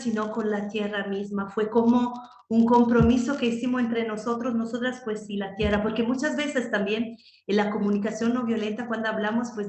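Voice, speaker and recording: A woman's voice: on the high side, reverberant. A woman from Italy, a nonviolent communication trainer, answering interview questions thoughtfully, with frequent fillers. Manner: thoughtful, many "pues" and "¿no?" fillers.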